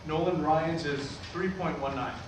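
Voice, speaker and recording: A man's voice: on the high side, a little slow, close to an everyday voice. Guy acting a stern religious father in a play, easily riled, far from the mic, super echoey. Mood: competitive, casual. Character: strict, devout father and brother, easily roused to anger. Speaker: actor in a staged reading. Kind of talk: stage play reading, naturalistic dialogue. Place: large room at a staged reading. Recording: very echoey distant room recording, faint noise.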